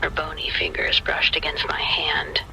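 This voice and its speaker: A man narrating a scripted spooky story in the first person, in a hushed, deliberate, suspenseful manner. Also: on the high side, monotone, creaky, in a whisper, rough recording.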